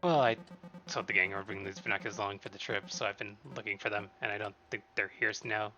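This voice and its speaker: A man's voice: lowish voice, fast talker, sharp tone. A man voicing an anxious, embarrassed young friend, hesitant and self-deprecating, in story dialogue. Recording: dull lo-fi sound, faint music.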